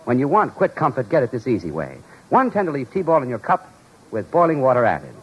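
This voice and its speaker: Animated, slightly husky American radio announcer. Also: male voice, a little fast, dull audio. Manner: polished, emphatic sales pitch. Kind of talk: 1940s radio commercial announcing. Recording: old 1940s radio recording.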